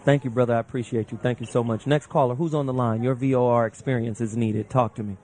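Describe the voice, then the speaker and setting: A man hosting a radio call-in show, taking callers in relaxed casual talk and thanking each one. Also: mid-range voice. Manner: laid-back, warm thanks to callers, quick laughs.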